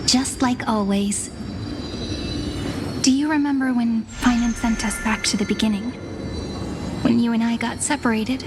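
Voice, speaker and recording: A woman voicing a gentle, devoted young female companion in a story-driven video game, speaking tenderly and reassuringly. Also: a little high, steady tone, measured pace, long pauses, noisy background. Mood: tender, wistful. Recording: music playing behind the voice. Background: game music.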